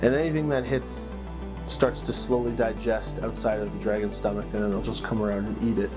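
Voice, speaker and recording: A man hosting as a game master, narrating fantasy lore and story like a measured storyteller with dramatic pauses. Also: fairly low pitch, level tone, low-quality recording. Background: plucked guitar music.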